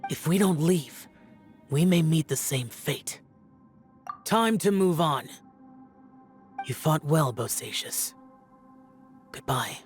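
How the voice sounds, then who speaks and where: A man voicing an aloof, ageless young immortal guardian in a video game, speaking gravely and in measured phrases. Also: a little high, lively intonation, faint music behind. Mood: solemn farewell. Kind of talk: English game dub cutscene acting.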